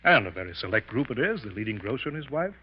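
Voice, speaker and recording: An actor playing a patronizing professor with a morbid fascination for death in a 1950s radio melodrama. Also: male voice, on the low side, sing-song. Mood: condescending, coaxing. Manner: pompous, patronizing, deliberate. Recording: dull, hissy old radio recording.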